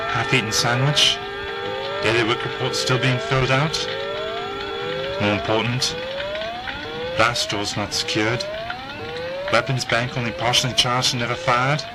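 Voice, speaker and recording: A man's voice: mid-range voice, a little slow, pauses often, heavy background noise, lightly acted. An actor playing a curious, wry time-travelling alien man in a science-fiction audio drama, in a musing manner. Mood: musing, grave.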